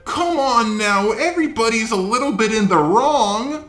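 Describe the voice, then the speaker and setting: A man's voice: very high-pitched, lively intonation, moderate pace, a bit bright, echoey room, voice pushed up. A man voicing a whining online critic who pleads and scolds, in a mocking, exaggerated way. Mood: pleading, whiny.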